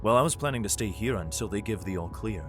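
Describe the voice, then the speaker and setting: A man's voice: lowish voice, animated, quick pace, close to an everyday voice. A man playing a worried, protective father who narrates his flight from war in an audio drama. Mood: guarded. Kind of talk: first-person audio drama narration and dialogue. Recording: loud music bed behind voice.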